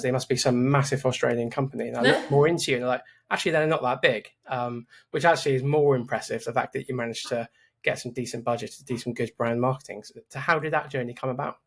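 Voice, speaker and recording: A man's voice: mid-range voice, fairly even intonation, fast talker, breathy edge. A man hosting a podcast, talking casually and restarting his sentences often. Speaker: podcast host. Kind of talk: casual interview chat.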